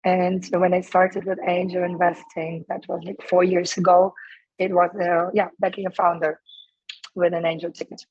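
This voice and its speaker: A woman in her thirties from Ukraine, hoarse and losing her voice, talking casually as a guest with many fillers and restarts. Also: fairly low pitch, little pitch movement, moderate pace, a little mellow, dry recording, dull audio. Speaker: guest, startup co-founder. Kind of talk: casual interview answers.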